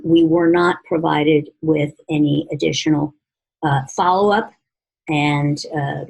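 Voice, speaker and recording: A woman answering questions as an advocacy speaker at a press conference, speaking formally and with many halting hesitations. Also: sits low, vocal fry, slightly rough, poor audio. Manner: halting, heavily hesitant, formal policy phrasing.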